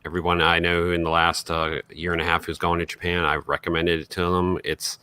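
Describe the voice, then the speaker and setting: A man co-hosting a podcast, chatting casually and with enthusiasm in a halting, stop-and-start way. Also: deep voice, level tone, pushed and bright, smooth, no echo. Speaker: podcast co-host.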